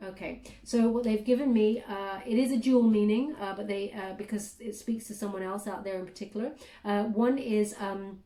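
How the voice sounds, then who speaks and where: A woman's voice: mid-range voice, fairly even intonation, normal speed, mellow, echoey room. A woman giving a casual tarot reading, chatty and full of fillers, often checking in with "okay?". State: clearing throat, voice catching. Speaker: tarot reader.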